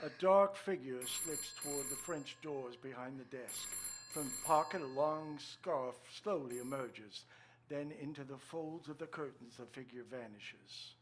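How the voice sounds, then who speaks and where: An actor playing a dramatic, showy old-time radio announcer narrating a suspense thriller. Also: male voice, mid-range voice, unhurried, lightly acted. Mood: suspenseful. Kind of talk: 1940s radio acting. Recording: echoey room with faint noise.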